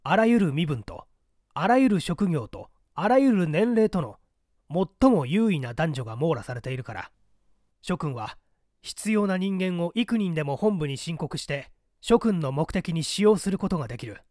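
A man's voice: a little high, very animated, normal speed, darkish tone, no echo, studio quality. A man voicing a stern masked courier of a secret party, giving clipped, formal, authoritarian orders in an audiobook drama. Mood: formal, commanding.

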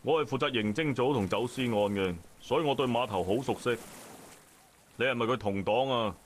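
Man acting as a smug police detective, medium-pitched, Hong Kong Cantonese, commanding, visual novel game, faint background noise. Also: a little fast, close to an everyday voice. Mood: smug, assured. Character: confident, taunting male police detective.

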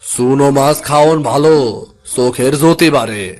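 A man's voice: medium pitch, moderate pace, darkish tone, lightly acted. A man voicing a tired, kindly poor fisherman father with a rural Bangladeshi accent in a cartoon. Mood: gentle. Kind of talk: cartoon voice acting.

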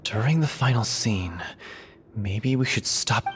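A man's voice: lowish voice, expressive, measured pace, heavy background noise. A man playing a practical, agreeable theater troupe member, matter-of-fact, in a video game. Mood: thoughtful. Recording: background music.

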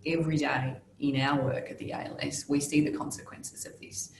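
A woman in her thirties with an Australian accent, giving measured, earnest prepared remarks over a video call from a small office. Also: low voice, little pitch movement, vocal fry, roomy sound, a little hiss or hum, low-quality recording. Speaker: panelist from a legal service. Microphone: laptop video call.

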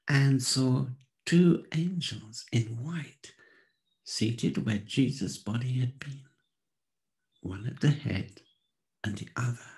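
A man in his sixties giving a solemn, theatrical dramatic Bible reading with hushed pauses, close on a studio mic. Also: medium pitch, gravelly. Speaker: scripture reader. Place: small room at home. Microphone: close studio condenser with pop filter.

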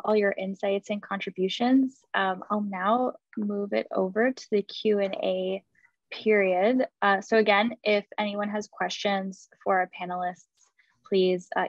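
A woman's voice: medium pitch, dry recording, muffled recording. A woman moderating a panel over a video call, friendly and using many fillers as she moves to questions.

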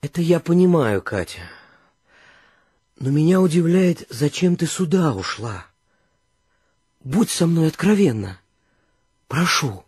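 An actor playing an earnest, educated gentleman come home from abroad, formal and probing, in a radio play. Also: fairly high pitch, big pitch swings, a little slow, pauses often, slightly rough, no echo, clean studio sound, exaggerated voice. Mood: earnest, serious.

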